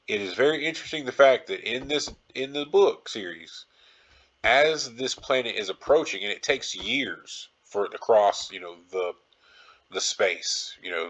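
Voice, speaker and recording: A man's voice: fairly low pitch, animated, a little slow, fairly bright tone, rough recording. A man hosting a podcast solo in a casual, rambling style, informal and circling back to repeat his phrases. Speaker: podcast host.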